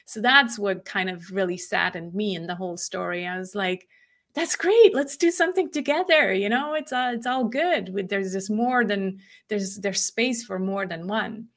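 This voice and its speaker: A woman's voice: medium pitch, moderate pace, a bit bright. Woman, Swiss German native speaker, podcast guest, casual and reflective. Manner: reflective, restarts sentences often. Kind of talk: casual conversation.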